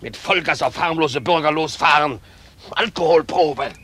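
An actor playing a man who was nearly run over and loudly scolds the driver in a radio play. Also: a little high, normal speed, husky. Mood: furious. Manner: shouting, scolding.

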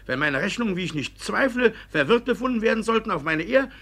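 A shifty village judge from a classic radio comedy, blusters with indignation. His pitched-up voice is forceful and husky, forever evasive. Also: animated, quick pace, full character voice. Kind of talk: classic German stage comedy as radio play.

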